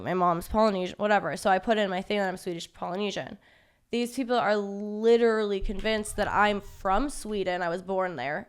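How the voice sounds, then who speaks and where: Clear-voiced young woman, breezy and candid. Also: medium pitch. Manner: casual, many fillers, laughs things off. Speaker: podcast guest. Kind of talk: candid conversational interview.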